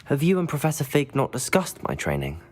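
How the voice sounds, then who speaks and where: A woman's voice: extremely low pitch, wildly swooping pitch, brisk, a little mellow, husky, exaggerated voice. A woman playing a polite, earnest new student of magic in a fantasy game, speaking respectfully. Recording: long hall-like reverb.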